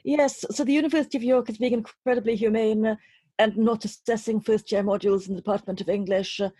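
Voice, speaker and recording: A woman speaking reflectively as an interview guest, in an articulate, literary and deliberate way. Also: a little high, fairly even intonation, dark tone, slightly rough, no room sound. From Trinidad. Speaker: interview guest, poet and writer.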